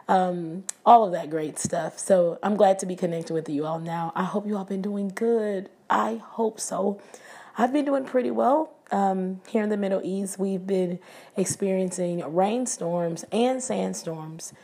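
A woman with a raspy, husky voice talks straight to her podcast listeners. Her tone is dark and mellow, and her pitch sits on the low side. She speaks earnestly and prayerfully. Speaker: podcast host. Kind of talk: casual devotional talk. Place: living abroad, indoors.

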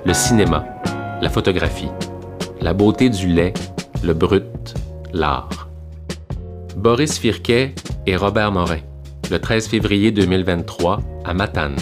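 Deep-voiced man narrating over guitar music. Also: unhurried, pauses often. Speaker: podcast announcer. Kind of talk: scripted narration. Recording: loud guitar music behind.

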